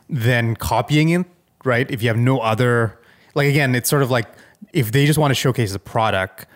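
Casual man chatting as podcast co-host. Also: fairly low pitch. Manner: hedging, checks in with "right?" often. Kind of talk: casual tech chat.

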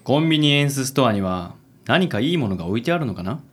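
An actor playing a suave, affected, good-natured company president in a radio drama. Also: male voice, medium pitch, expressive, fast talker, breathy edge. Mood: suave, assured. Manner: suave, theatrical, gentlemanly.

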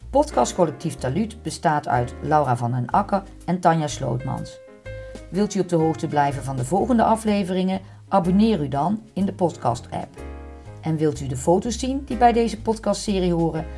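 Woman narrating, even and mellow. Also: fairly low pitch, normal speed, soft background music. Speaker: podcast narrator and presenter. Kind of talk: scripted documentary narration.

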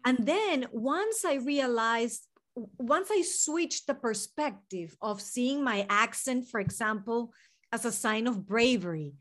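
A woman's voice: on the high side, animated, measured pace, a bit bright. A woman in her forties from Venezuela with a Venezuelan Spanish accent, a therapist speaking earnestly and reflectively as a podcast guest. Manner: earnest, reflective, speaks in long unfolding sentences. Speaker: guest, licensed mental health therapist. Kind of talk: podcast interview.

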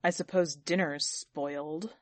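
Audiobook husband, played by a woman: pitched down, sour, gloomy, belittling, short-tempered, very dry close recording. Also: steady tone, unhurried, no room sound. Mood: grumbling. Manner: gloomy, brutal, complaining. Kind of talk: dramatic audiobook character reading.

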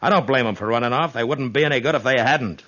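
An actor playing a stern, steady frontier marshal in a 1950s radio western, speaking in a blunt, measured, authoritative way. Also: male voice, medium pitch. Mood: scolding. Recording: dull vintage radio recording.

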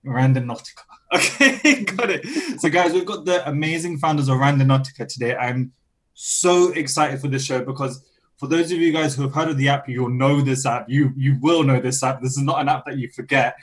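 A man hosting a podcast remotely from London, gushing and excitable, his voice slightly croaky after a coughing fit. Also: medium pitch, fairly quick, poor audio. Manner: excitable, gushing, laughs often. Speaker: podcast host. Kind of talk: enthusiastic podcast interviewing. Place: home studio in London. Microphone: remote recording.

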